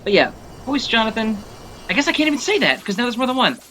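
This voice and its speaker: A man's voice: very high voice, sing-song, fast talker, bright, forceful tone. A man giving casual, rambling game commentary as a video creator, talking like an enthusiastic fan with some mild swearing. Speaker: gaming video creator and host. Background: video game music.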